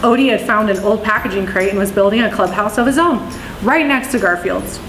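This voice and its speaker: Woman, children's story reader, expressive delivery, echoey room, faint background noise. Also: mid-range voice, a little fast. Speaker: police dispatcher reading stories aloud. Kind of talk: children's storybook read-aloud.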